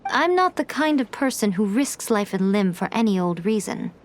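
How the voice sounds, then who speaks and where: A woman's voice: mid-range voice, very animated, moderate pace, slight background noise, lightly acted. A woman playing an elegant, worldly shrine priestess in a video game, poised, refined and playful. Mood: matter-of-fact. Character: sly, elegant, teasing shrine priestess, worldly and self-assured. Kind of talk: video game cutscene acting and narration. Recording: music behind the voice.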